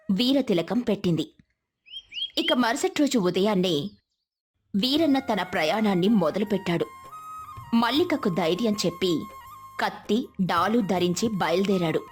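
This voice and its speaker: A woman giving scripted storybook narration in a smooth, dramatic storytelling manner. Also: medium pitch. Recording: faint music behind.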